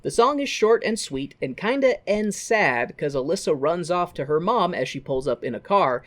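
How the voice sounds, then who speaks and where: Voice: mid-range voice, expressive, moderate pace, a bit bright. Sweary podcast co-host recapping a film. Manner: sweary, acting out mocking quotes. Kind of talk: casual, comedic recap of a musical film.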